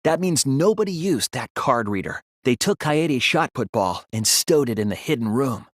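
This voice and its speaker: Man voicing an earnest young detective, very animated pitch. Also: no echo, clean studio sound, lightly acted. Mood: earnest, analytical. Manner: deliberate, earnest, explanatory delivery. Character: earnest teenage boy detective, analytical, resolute, grieving a friend. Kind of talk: English video game dub, dramatic deductive dialogue.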